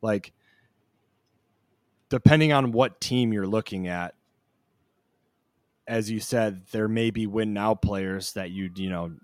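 A man with a lowish voice talks football in a blunt, profane way, quick to throw in a joke. The sound is dry and close to the mic. His delivery is casual and offhand. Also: no echo. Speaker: podcast co-host. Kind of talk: casual fantasy football chat.

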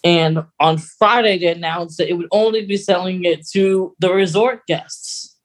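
A podcast co-host speaks in a mid-pitched voice. The delivery is casual and conversational, with a wry edge, like news shared in an informal chat. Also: steady tone, unhurried.

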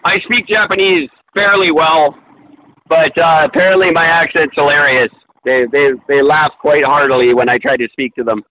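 A man's voice: on the high side, steady tone, moderate pace, pushed and bright, a little hiss or hum, rough recording. A man in casual podcast chat, talking in a rambling, self-deprecating way with many fillers and restarts. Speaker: podcast co-host.

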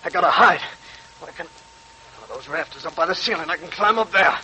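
Male actor as desperate escaped convict, high-strung pitch, menacing tough-guy delivery, 1940s radio crime drama, clearly noisy old recording. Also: lively intonation, a little fast, close to an everyday voice. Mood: threatening, frantic. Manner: barking, menacing tough-guy gangster talk.

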